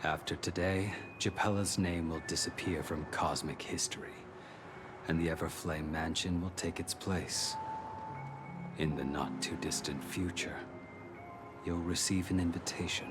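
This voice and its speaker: A man voicing a grave, world-weary killer in a video game, with a cold, brooding and measured delivery. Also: sits low, fairly even intonation. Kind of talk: dark video game drama voice acting. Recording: very loud music over the voice.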